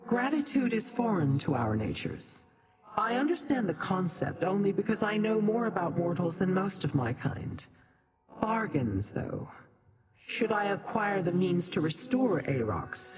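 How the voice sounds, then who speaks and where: An actress playing a proud, secretive demon in a video game, haughty, formal and deliberate. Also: female voice, on the low side. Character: proud, aloof demon schemer, secretive and self-serving. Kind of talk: video game character acting. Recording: music behind.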